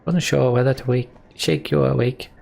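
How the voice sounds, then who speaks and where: A man's voice: mid-range voice, steady tone, moderate pace, vocal fry, a little mellow, voice pushed up. A man voicing a flustered young man who stumbles over his words and then turns to reporting bad news. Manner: fumbling, hesitant.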